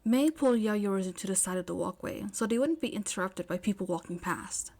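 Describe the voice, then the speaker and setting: A woman's voice: mid-range voice, expressive, brisk, vocal fry, a little mellow. A woman reading scripted fiction aloud as an amateur audiobook narrator.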